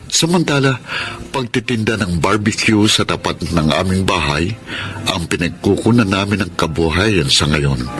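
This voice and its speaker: Low-voiced devoted husband. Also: male voice, a bit bright. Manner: earnest, heartfelt, sentimental. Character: devoted, tender husband Tobal, humble barbecue vendor. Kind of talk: radio drama acting and first-person narration. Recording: music bed under radio drama voice.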